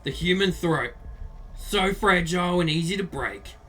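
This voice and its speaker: A man's voice: high voice, animated, a little slow, bright, forceful tone, exaggerated voice, low-quality recording. A man playing a cocky, sadistic teenage boy in a visual novel, with a taunting, theatrical delivery. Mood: gloating. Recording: music behind the voice. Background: music.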